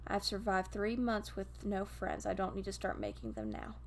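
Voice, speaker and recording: A prickly teenage boy played by a woman in an audio story. He is stubborn and curt, brushing people off in a creaky voice. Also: medium pitch, big pitch swings, moderate pace, slight background noise. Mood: irritated.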